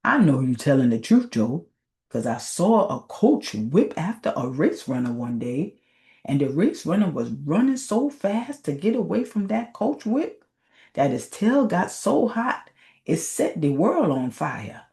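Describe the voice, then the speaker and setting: A woman's voice: deep voice, very animated, unhurried, dark tone, heavily acted. A woman voicing a boastful man spinning a tall tale in folksy Southern dialect. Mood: bragging. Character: boastful male fisherman spinning tall tales among friends.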